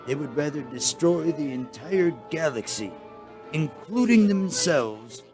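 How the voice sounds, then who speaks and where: An actor playing a mild, world-weary alien chancellor with a formal, hesitant, stagey manner in a comic radio play. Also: male voice, a little high, lively intonation, unhurried, over music. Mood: dejected.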